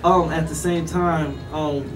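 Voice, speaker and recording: A man's voice: on the high side, steady tone, takes it slowly. A man co-hosting a casual radio show, asking long, rambling questions with jokes mixed in. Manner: laid-back, joking, rambling questions. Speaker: radio co-host. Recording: distant mic with background noise.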